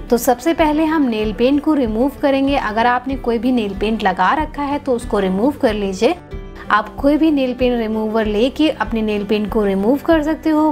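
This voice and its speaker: Calm young woman teaching beauty steps. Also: on the high side. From India. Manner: calm instructive, mixing Hindi and English. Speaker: beauty channel host. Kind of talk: step-by-step beauty tutorial. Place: indoor home room.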